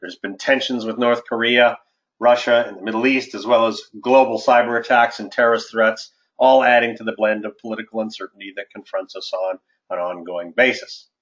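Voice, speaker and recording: A man, an investment adviser hosting a webinar and presenting market commentary in a formal, measured way. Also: on the low side, steady tone, fairly bright tone. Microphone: conference call line. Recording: dull, lo-fi conference-call audio.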